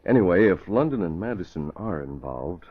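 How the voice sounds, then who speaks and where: An actor playing a composed, decisive newspaperman and secret vigilante, giving brisk orders in a 1940s radio drama style. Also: low-pitched, lively intonation. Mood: calm, commanding. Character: composed, commanding newspaperman leading a double life as masked vigilante. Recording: muffled vintage radio recording.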